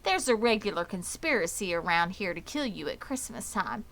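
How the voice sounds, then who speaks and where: Woman playing a blunt old ewe, bright-voiced. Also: mid-range voice, animated, moderate pace. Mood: blunt, grim. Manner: matter-of-fact, snappish. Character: blunt old female sheep, grim and knowing. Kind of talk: children's storybook character reading.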